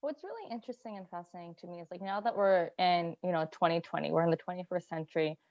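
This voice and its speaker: A woman from Iran joins a journal club discussion over a video call. She thinks out loud and circles her point with lots of fillers. The audio is dry and a little dull. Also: lowish voice, fast talker, no room sound. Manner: rambling, filler-heavy, thinking aloud.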